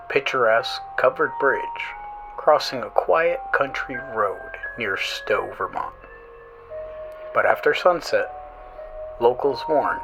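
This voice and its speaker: A man hosting a horror radio show, reading creepy stories in a slow, eerie, dramatic way, with music playing under his voice. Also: mid-range voice, creaky, low-quality recording. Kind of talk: scripted horror narration.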